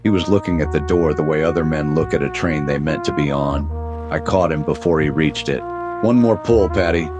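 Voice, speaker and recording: A man narrating as a world-weary private detective, deadpan with dry wisecracks, in a 1930s-style noir radio drama. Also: rumbling low, brisk, music in the background.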